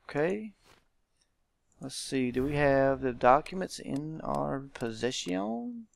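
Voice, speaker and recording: A man's voice: on the high side, animated, takes it slowly, creaky. A man hosting a visual novel let's play, reading game text aloud and adding informal commentary.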